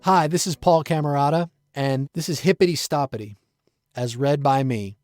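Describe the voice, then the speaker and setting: A man reading his own rhyming poem aloud in a measured, playful recitation. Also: fairly high pitch, darkish tone, soft background music. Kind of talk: rhymed poetry recitation.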